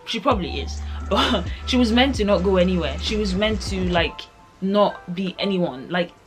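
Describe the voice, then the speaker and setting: A young woman reviewing a book in a chatty, rambling way, full of "like" and asides. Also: mid-range voice. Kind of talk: casual book review vlog.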